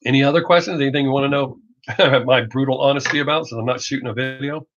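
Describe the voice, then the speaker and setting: Middle-aged male streamer, American Southern drawl, blunt off-the-cuff chat with some swearing, mid-pitched voice, slightly muffled lo-fi audio. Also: fairly even intonation, a bit bright. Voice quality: Southern drawl masked by fast talk. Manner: blunt, rambling, profane, joking. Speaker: reaction video creator hosting a live stream. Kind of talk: casual livestream chat, off the cuff. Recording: dull lo-fi livestream audio.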